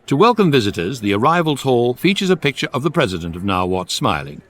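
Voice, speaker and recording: An actor playing a wry, deadpan British narrator of a space guide, delivering measured scripted comic narration for radio. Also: male voice, mid-range voice. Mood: dryly amused.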